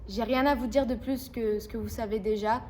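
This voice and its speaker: A woman's voice: on the high side, steady tone, a little fast, darkish tone. A woman delivering a theatrical monologue as a drama student. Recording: distant mic, background noise.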